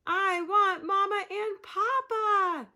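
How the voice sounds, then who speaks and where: A woman's voice: high voice, very animated, slow, sharp tone, pitched above the performer's own voice, full character voice. A woman reading aloud, voicing a lost little girl penguin chick who is earnest and plaintive. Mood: wailing. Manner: plaintive, wide-eyed.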